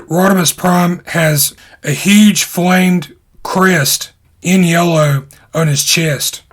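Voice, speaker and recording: A man hosting an online video, narrating his explanations in a measured way, in short phrases. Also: a little high, fairly bright tone. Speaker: YouTube host.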